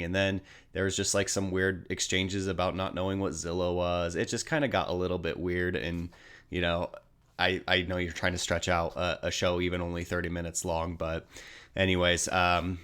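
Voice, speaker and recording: Low voiced american guy chatting hockey news, bit stuffed up from a cold, nasal, kinda rambly. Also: a bit bright, smooth. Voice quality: slightly nasal. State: getting over a cold. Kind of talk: casual sports news commentary.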